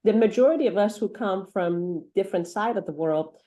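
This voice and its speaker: A woman's voice: mid-range voice, a little fast, mellow. A middle-aged woman doctor who grew up in Iran and Africa, English not her first language, talking earnestly with many fillers and restarts in an interview. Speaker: pediatric doctor. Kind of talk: interview conversation.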